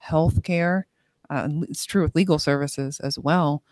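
A woman speaking as a clinic guest on a panel podcast, thoughtful and deliberate. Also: sits low, fairly even intonation, darkish tone, dry recording.

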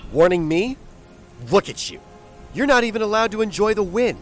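A man's voice: high-pitched, animated, moderate pace, over loud music. A man playing a sardonic, seasoned space skipper in a sci-fi audio drama, with a taunting, stubborn manner. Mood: mocking, defiant.

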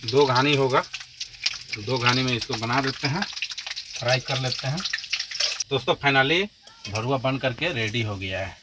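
A man's voice: medium pitch, measured pace, long pauses, heavy background noise. A man from India narrating a casual trucking and cooking vlog step by step, addressing viewers as friends. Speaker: truck driver vlogger.

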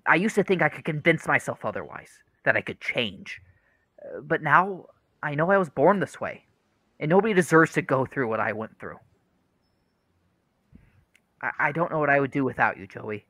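A man's voice: on the high side, very animated, moderate pace, long pauses, bright, forceful tone, dry recording, clean studio sound, heavily acted. An actor playing an earnest, troubled young man in a radio play, hesitant and confessional. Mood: tender.

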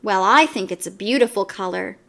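A woman's voice: medium pitch, fairly quick, gravelly, pushed and bright. A woman voicing a kind rag doll who speaks in an earnest, reassuring way. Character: kind rag doll girl, warm and encouraging.

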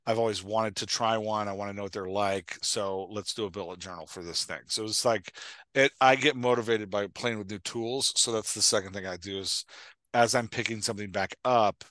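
An American man with a lowish, crisp-edged bright voice talks casually as a podcast host. He tells stories in an animated way, quoting his own thoughts, in a dry-sounding space. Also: fairly quick, no echo. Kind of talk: casual Q&A chat. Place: indoor room at home, on a video call. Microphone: headset microphone.

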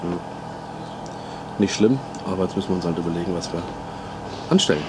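A man's voice: low-pitched, brisk. A man in his thirties chatting casually as a vlogger, rambling with fillers and searching for words, filmed on a camera in a tent and then in a car. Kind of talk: casual vlog chat. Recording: loud background noise from rain and car.

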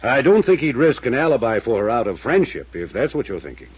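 A tired small-town mayor, speaking in a husky, earnest, measured way. His voice comes through dry and muffled on an old radio recording. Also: mid-range voice, big pitch swings, no echo. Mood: weary. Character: weary, careful small-town male mayor. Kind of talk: 1950s radio drama acting.

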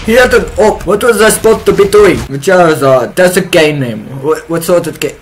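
A man's voice: sits high, moderate pace, soft background music. Young man in a joking mock accent. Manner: joking, exaggerated put-on foreign accent, broken grammar; loud excited yelps. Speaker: streamer. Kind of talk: gameplay commentary in a mock accent.

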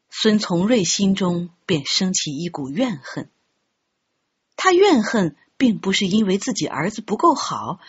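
A woman narrates a story with an expressive, scripted delivery. Her voice has a husky grain and moves in lively swings of pitch. The recording is slightly dull and lo-fi. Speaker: audiobook narrator. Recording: dull, muffled lo-fi audio.

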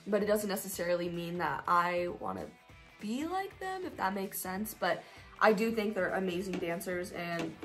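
A woman's voice: fairly low pitch, lively intonation, normal speed, a bit bright, soft background music. A teenage girl from Colorado answering her viewers' questions in a casual, upbeat, rambling way, with plenty of fillers. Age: teens. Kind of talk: casual Q&A vlog.

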